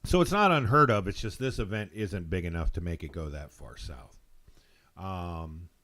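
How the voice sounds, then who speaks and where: An American man hosting a live call-in show, talking casually in a rambling, halting, informal way. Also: deep voice, expressive, pushed and bright. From Texas, United States.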